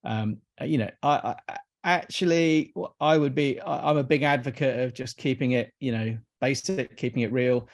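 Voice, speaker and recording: A man's voice: mid-range voice, moderate pace. A man in his fifties from Iraq, a guest in a casual interview, who talks hesitantly, often repeating words and restarting sentences. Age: 50s.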